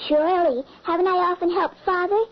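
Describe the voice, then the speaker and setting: A woman's voice: extremely high pitch, fairly even intonation, fairly quick. A woman playing an innocent little peasant girl, the youngest sister, in an old-time radio horror drama. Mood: eager. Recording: muffled, hissy old radio recording.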